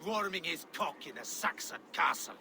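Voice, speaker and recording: A greedy, sneering Viking raider man in a game cinematic. His voice is high and swoops around as he grumbles crudely. Clear background noise surrounds him. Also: animated, moderate pace, sharp tone, full character voice. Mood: resentful. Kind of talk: cinematic video game dialogue.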